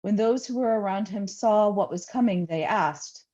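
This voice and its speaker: A woman reads scripture as a pastor would, in a solemn liturgical style with measured, deliberate phrasing. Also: medium pitch, mellow, lo-fi sound.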